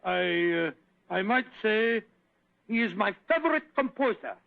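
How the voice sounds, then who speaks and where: An actor playing a bumbling, stammering doctor sidekick in an old radio drama. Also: male voice, high voice, lively intonation, measured pace, gravelly, full character voice. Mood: flustered. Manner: stammering, hesitant. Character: bumbling, flustered middle-aged doctor sidekick. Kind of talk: 1940s radio acting. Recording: tinny old radio broadcast.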